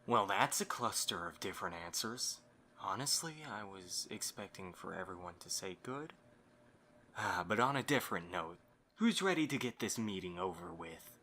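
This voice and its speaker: A man's voice: sits low, expressive, normal speed, pushed and bright, a little hiss or hum. A man acting a courteous, scheming young host in an amateur audio drama, with a theatrically polite manner. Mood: brisk, polite.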